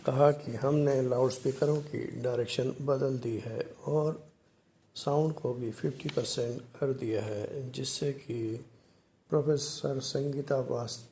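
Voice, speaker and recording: Male commentary narrator, unhurried and halting, soft dark tone with some gravel, faint background noise. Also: mid-range voice, steady tone. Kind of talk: explanatory social commentary addressed to viewers.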